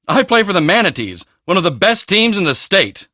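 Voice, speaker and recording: A man voicing a cocky, boastful teenage high school football star in a video game, with a swaggering, emphatic, self-important delivery. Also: big pitch swings, a little fast, sharp tone, no room sound, studio quality, full character voice. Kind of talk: video game character dialogue.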